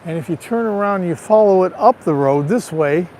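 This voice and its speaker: Male vlogger, raspy from allergies, chatty tour-guide manner, greets viewers by name; phone mic outdoors, light traffic hiss. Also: sits high, animated, a little fast, a little mellow, rough recording. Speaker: livestream vlogger. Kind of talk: casual live walking tour. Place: outdoors on a town street. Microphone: handheld phone.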